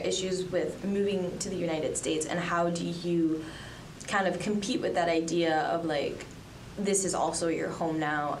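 A young woman from the Dominican Republic and Colombia, a student journalist answering interview questions in a chatty way with many fillers. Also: fairly low pitch. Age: young adult. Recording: echoey room, distant from mic, noisy.

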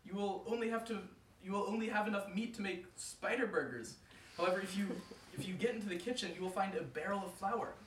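A man's voice: fast, slight background noise, close to an everyday voice. A man voicing Anansi, a clever male spider father, in a raised, urgent voice. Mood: frantic. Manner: high, urgent, shouting. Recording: distant roomy mic.

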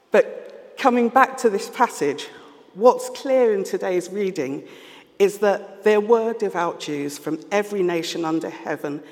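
A man with a London accent preaching a sermon, earnest and measured, pausing often as he reflects. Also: high voice. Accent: London English. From London, England. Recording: long reverb of a large hall.

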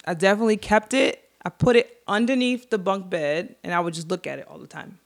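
A woman from Queens, co-hosting a podcast and telling stories in a relaxed, playful way. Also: medium pitch, creaky. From Queens, New York. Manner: relaxed, playful, drawn-out storytelling. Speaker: podcast co-host. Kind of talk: casual storytelling chat.

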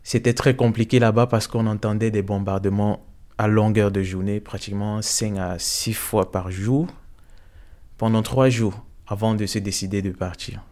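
A young man from Cameroon giving a hesitant, soft-spoken personal testimony in an interview, with many fillers. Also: lowish voice, fairly even intonation, moderate pace.

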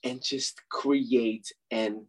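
A man with a husky voice talking slowly and earnestly, giving encouraging words. His voice sits at a middle pitch. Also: fairly even intonation.

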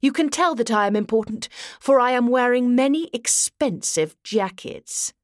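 A woman's voice: fairly high pitch, animated, normal speed, dry recording, clean studio sound, natural voice. A woman voicing an elegant, vain male elf lord in a storybook, with a languid, sighing, grandly formal delivery. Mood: haughty, offended.

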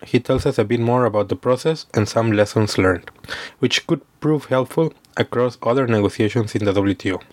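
A man's voice: medium pitch, a little mellow. A man hosting a podcast, reading an intro and then chatting with a guest in a friendly way. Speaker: podcast host. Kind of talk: scripted intro then casual interview.

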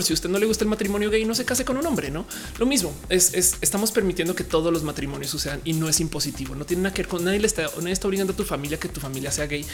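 Fast-talking Mexican woman streamer. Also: fairly low pitch. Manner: chatty, upbeat, laughs often, slangy. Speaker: live streamer reading chat. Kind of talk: casual live-stream chat.

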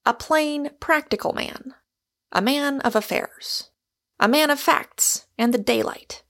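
A woman's voice: sits high, takes it slowly, fairly bright tone, pitched above the performer's own voice. A woman voicing an exuberant, argumentative Irishman, a storybook suitor who declaims with great emphasis. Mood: ponderous, emphatic.

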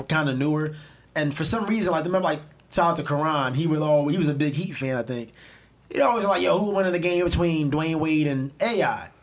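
Philly podcast co-host, male, higher-pitched voice, animated, profane, storytelling. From Philadelphia. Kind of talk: casual chat.

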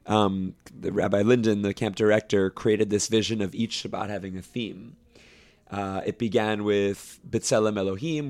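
A young man who directs a camp choir, explaining his work at length in a relaxed interview, with fillers and restarts. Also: on the low side. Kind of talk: conversational interview.

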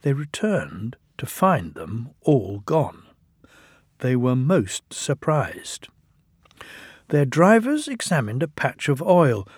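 A man's voice: medium pitch, sing-song, takes it slowly, a little mellow, husky, dry recording, studio quality. A man narrating a children's storybook as an audiobook reader.

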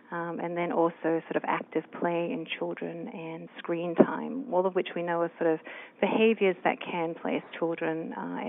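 A woman from New Zealand, a researcher answering interview questions thoughtfully with many fillers. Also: fairly low pitch. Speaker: researcher guest. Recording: tinny phone line.

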